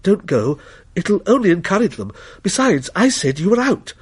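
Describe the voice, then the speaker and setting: A man's voice: high voice, sing-song, moderate pace, slightly rough, voice pushed up, full character voice. A man voicing an anxious wife and mother, placating and pleading, in a dramatic ghost story reading.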